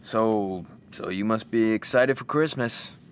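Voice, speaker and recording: A man playing a weary, sardonic single father, gruff but caring, who swears when defensive. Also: fairly low pitch, unhurried, slight background noise, lightly acted.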